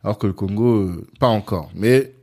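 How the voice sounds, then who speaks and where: A man speaking French informally as a podcast host, often agreeing with his guest in relaxed conversation. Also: lowish voice, normal speed. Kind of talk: casual conversational interview.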